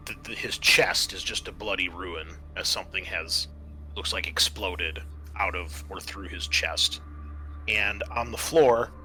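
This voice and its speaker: A man running a tabletop roleplaying game as game master, describing scenes in a halting, conversational way and often restating himself. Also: lowish voice, sharp tone.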